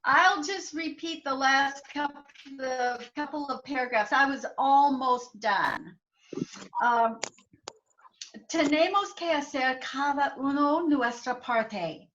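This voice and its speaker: A woman language student reading a text aloud slowly and carefully on a video call. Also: high-pitched, fairly bright tone, lo-fi sound, slight background noise.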